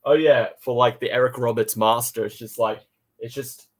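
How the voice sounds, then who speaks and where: A man co-hosting a casual review podcast, talking haltingly and repeating phrases as he thinks aloud. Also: mid-range voice, steady tone.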